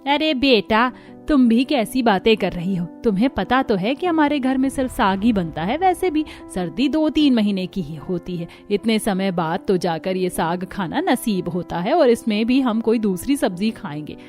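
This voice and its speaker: Cartoon mother-in-law, played by a woman: dismissive, immovable matriarch, medium pitch, light music. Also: animated, normal speed, a little mellow, close to an everyday voice. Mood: disapproving, firm. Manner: scolding, dismissive. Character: stubborn, disapproving middle-aged mother-in-law. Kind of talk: Hindi cartoon story dialogue.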